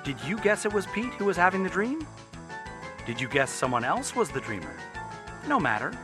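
Playful radio play announcer guy, bit high voiced, very animated, music playing behind him. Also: normal speed. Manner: theatrical, playful. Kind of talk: scripted narration for radio drama.

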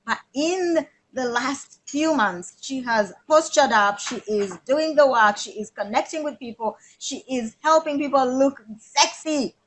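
A woman's voice: high voice, expressive, a little slow, hardly any pauses, slight background noise, rough recording. Gushing woman hosting a live interview. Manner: gushing, effusive praise. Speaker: host.

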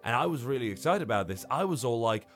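A man's voice: mid-range voice, lively intonation, fast. Guy podcast host, rambly and sweary, casual movie talk, bit of music behind him. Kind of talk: casual film review chat.

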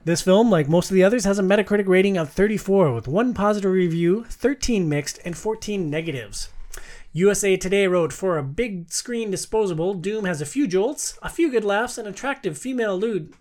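A man's voice: high-pitched, a little fast, a little mellow. A man hosting a casual podcast chat, talking in an informal, slangy and joking way. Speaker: podcast host. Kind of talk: casual chat with read-out movie reviews.

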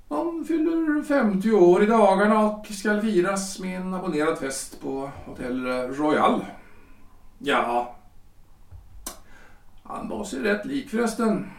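Man acting a halting, high-pitched politician husband, echoey room. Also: recorded from a distance. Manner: halting, hemming, formal. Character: hesitant, self-important 43-year-old lawyer-politician husband. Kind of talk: period stage-play audio drama acting. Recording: roomy, echoing, off the microphone.

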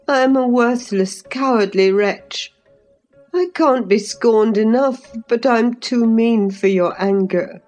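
A woman's voice: on the high side, slow pace, darkish tone, faint music behind, voice pushed up. A woman voicing a cowardly, self-pitying boy in a storybook, pleading in a whimpering, overwrought way. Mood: self-pitying, wounded.

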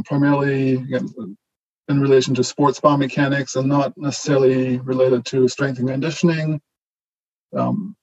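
German-accented man, husky and lo-fi. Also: mid-range voice. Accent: German-Canadian mix. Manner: relaxed, rambling, many fillers and restarts. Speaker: guest lecturer, associate professor. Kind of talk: online lecture.

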